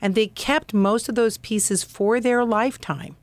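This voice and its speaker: A woman's voice: fairly high pitch, unhurried, dry recording. A woman guest on a radio show, explaining furniture advice in an earnest, practical way. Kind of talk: radio interview.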